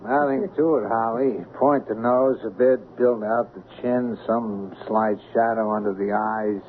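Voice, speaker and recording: An actor playing a self-assured former matinee idol turned crook, offhand and unruffled, in an old-time radio drama. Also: male voice, on the low side, fairly even intonation, a little slow, narrow, tinny audio, close to an everyday voice. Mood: smug, offhand. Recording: band-limited, noisy radio drama recording.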